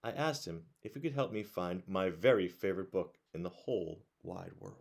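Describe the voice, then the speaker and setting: A man reading a storybook aloud to children in an expressive way, pausing to put questions to his young listeners. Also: low-pitched, creaky. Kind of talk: read-aloud storytelling to children, with conversational asides.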